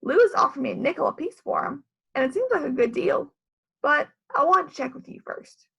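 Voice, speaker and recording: A woman's voice: sits high, sing-song, brisk, gravelly, a bit bright, highs cut off, heavily acted. A woman voicing an earnest, stubborn little schoolgirl in a storybook, emphatic and insistent.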